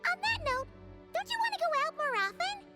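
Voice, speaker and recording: Tiny childlike fairy companion, video game. Extremely high, squeaky, very animated, excitable. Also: female voice, a little fast, over music. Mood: curious, excited. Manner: talks about herself in third person, bouncy. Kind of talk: scripted video game dialogue.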